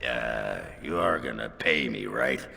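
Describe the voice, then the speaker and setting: An actor playing a crude, boozy, gruff dwarf warrior in a video game, with a gravelly growl and blunt, slurred delivery. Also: male voice, extremely low pitch, lively intonation, measured pace, bright, forceful tone, big-room sound, full character voice. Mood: rowdy.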